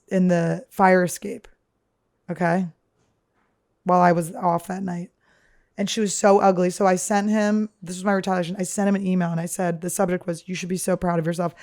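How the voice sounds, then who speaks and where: A woman with a deep, damaged-sounding voice hosts a comedy podcast from a studio. She rambles nonstop through crude, self-mocking stories. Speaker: podcast host.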